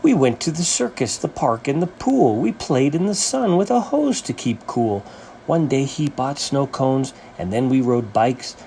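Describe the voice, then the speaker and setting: A man reading a rhyming children's story as its author, with an animated, sing-song rhythmic delivery. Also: mid-range voice, unhurried, gravelly, a little hiss or hum.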